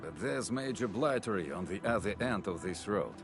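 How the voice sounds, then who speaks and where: An actor playing a steady, down-to-earth male crew worker in a video game, matter-of-fact, with music behind him. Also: lowish voice, moderate pace. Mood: matter-of-fact, concerned.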